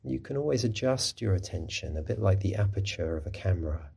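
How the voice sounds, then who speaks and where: A man gently guiding a body scan meditation as a mindfulness teacher, in a soothing, unhurried manner. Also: sits low, expressive, vocal fry, breathy edge.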